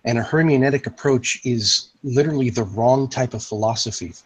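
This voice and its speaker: Moderator, husky male voice, talks in long reasoned sentences over voice chat. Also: medium pitch, brisk, low-quality recording. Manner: explains in long philosophical sentences. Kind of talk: casual voice-chat discussion. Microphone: online voice chat.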